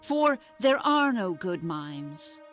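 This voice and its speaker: A woman's voice: on the low side, sing-song, a bit bright, soft background music, heavily acted. A woman playing a solemn, wise carnival ringmaster in an audio drama, telling tales in a grave, formal manner. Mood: grave storytelling. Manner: grave, deliberate, formal storytelling.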